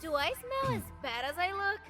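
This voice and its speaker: A woman's voice: very high-pitched, big pitch swings, moderate pace. A woman voicing a naive, jovial, childlike shapeshifter from a video game, playful, in simple broken phrases. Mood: curious, delighted. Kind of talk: video game character voice lines. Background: music.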